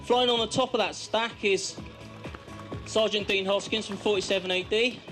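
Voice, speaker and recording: A man's voice: sits high, fairly even intonation, moderate pace, fairly bright tone, faint music behind. A man announcing an aerial display as its commentator, introducing those taking part. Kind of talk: announcing parachute display team.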